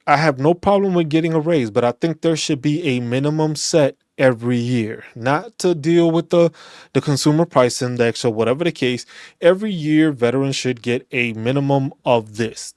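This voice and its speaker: A man, a veterans' channel host, speaking in a casual, animated rant, often restarting and repeating phrases. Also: on the high side, no room sound, clean studio sound. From New York City. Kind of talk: casual opinion talk.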